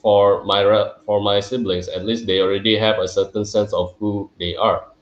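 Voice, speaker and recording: A man hosting an interview podcast, asking long questions and pausing often with "uh". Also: lowish voice, level tone, reverberant, highs cut off. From Singapore. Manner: hesitant, with many filler sounds. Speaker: podcast host. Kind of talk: interview conversation.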